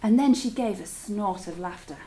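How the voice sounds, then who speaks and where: A woman reading a story aloud to children as a teacher, in an expressive, deliberate storytelling style with drawn-out words. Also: mid-range voice, background noise.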